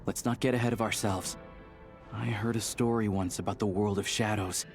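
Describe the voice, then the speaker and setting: An actor playing a serious, steady young man in a video game, speaking in a measured, earnest way. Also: lowish voice, soft background music, natural voice. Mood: grave, steady. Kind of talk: dramatic video game acting.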